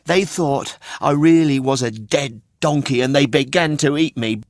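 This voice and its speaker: A man's voice: mid-range voice, a little slow, no echo, studio quality, voice pushed up, close to an everyday voice. A man voicing a naive, cheeky young wooden puppet boy in a children's storybook, chirpy and carefree. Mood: innocent, explaining.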